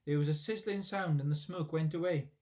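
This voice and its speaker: Higher-pitched man reading a children's story. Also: brisk, a little mellow. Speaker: story reader. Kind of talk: expressive children's story narration.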